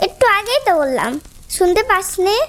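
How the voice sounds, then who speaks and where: A woman's voice: extremely high pitch, very animated, moderate pace. A woman voicing a haughty, spiteful young wife in a cartoon melodrama, scolding and domineering. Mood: demanding, irritated. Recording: music under the voice.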